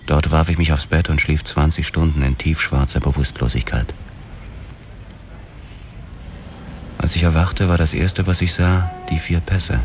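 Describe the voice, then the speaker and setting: An actor playing a grim, weary male police detective, narrating a hard-boiled crime radio play in a measured way. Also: a bass voice, slight background noise. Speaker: first-person narrator. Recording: music loud behind the voice.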